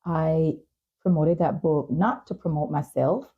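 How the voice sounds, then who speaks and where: A woman hosting a podcast interview, speaking in an earnest, measured, reflective way with frequent pauses. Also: sits low, slightly rough, narrow, tinny audio. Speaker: talk show host and advocate.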